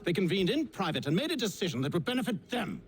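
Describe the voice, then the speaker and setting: A man's voice: high voice, very animated, fast talker. An actor playing a proud middle-aged Templar father in a video game, British, with a dry, sardonic, eloquent delivery. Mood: passionate, disdainful. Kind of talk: video game cutscene acting. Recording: faint background ambient noise.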